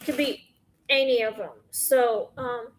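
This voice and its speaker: A woman with a high, scratchy voice talks slowly into her phone. She rambles through questions in a casual, halting way, full of pauses and fillers. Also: steady tone. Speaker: podcast host. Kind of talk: casual Q&A chat.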